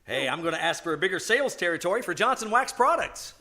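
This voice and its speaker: A man playing an enthusiastic, smooth-talking floor wax salesman in an old-time radio comedy. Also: high voice, big pitch swings, fast, bright, forceful tone, a little hiss or hum, heavily acted. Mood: enthusiastic, glib. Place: live stage performance at a winery.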